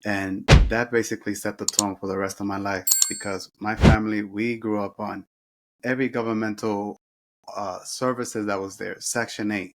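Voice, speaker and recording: Middle-aged guy from the dominican republic, low steady voice, keeps restarting his sentences. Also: moderate pace, background noise. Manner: earnest, with frequent restarts and self-corrections. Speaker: guest sharing life story. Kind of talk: interview storytelling.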